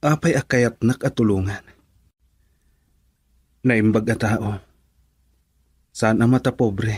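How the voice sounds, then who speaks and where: A husky-voiced male actor as a troubled father in an Ilocano radio drama. He is earnest and sounds like a grown man, recorded dry with no room around him. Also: on the low side, takes it slowly, a little mellow, no echo. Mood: troubled, pleading.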